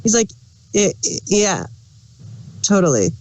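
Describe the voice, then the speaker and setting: A woman's voice: medium pitch, big pitch swings, unhurried, darkish tone, low-quality recording. A woman co-hosting a casual review chat, thinking aloud in a tentative way. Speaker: podcast co-host reviewing audio dramas.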